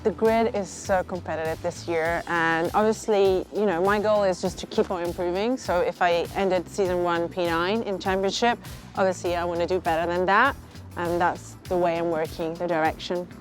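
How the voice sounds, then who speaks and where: A woman from Italy with an Italian accent, a racing driver answering interview questions in a chatty, slightly halting way. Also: lowish voice, steady tone, faint music behind. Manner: chatty, a little halting, with repeated fillers like "obviously".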